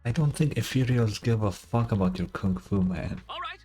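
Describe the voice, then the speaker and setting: A man's voice: on the low side, moderate pace, music in the background. A man giving blunt, sardonic, informal commentary as a streamer reacting to a game.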